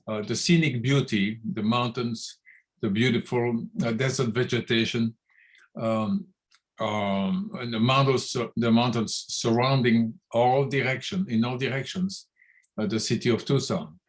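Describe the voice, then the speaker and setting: A man from Belgium, calling in to give public comment at a city meeting. He searches for words with frequent pauses and restarts, in a middle-pitched voice. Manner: hesitant, many fillers and restarts. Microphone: phone call into online meeting.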